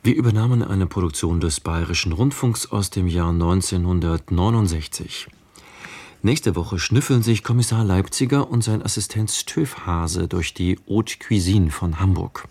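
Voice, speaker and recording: A man's voice: deep voice, normal speed, darkish tone, breathy edge. A man reading a scripted programme announcement as a radio presenter.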